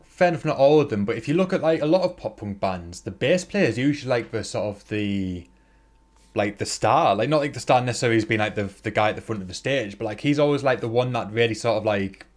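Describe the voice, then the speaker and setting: A man's voice: medium pitch, expressive, fast. Man, podcast co-host, casual chat, rambling, lots of "like" and "sort of".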